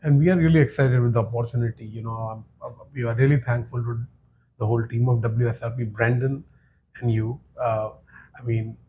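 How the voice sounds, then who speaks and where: A man hosting a business podcast, asking questions in a steady, flat delivery with frequent yeahs and you-knows. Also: medium pitch, brisk, a little hiss or hum, low-quality recording. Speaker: podcast host. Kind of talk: conversational podcast interview.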